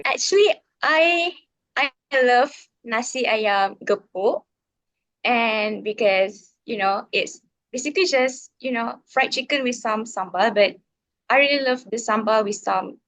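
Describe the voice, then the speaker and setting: A young woman from northern Malaysia with a Malaysian accent, a guest on a casual podcast, answering in a relaxed way with frequent pauses and ums. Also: on the high side. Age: young adult.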